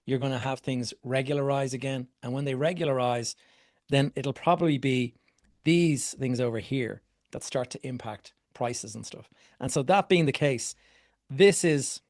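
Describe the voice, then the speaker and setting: Middle-aged guy from ireland coaching a room on property, mid pitch, chatty, asks and answers his own questions. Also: a little mellow. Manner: conversational, repeats words, asks questions and answers them. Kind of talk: workshop talk to a live group.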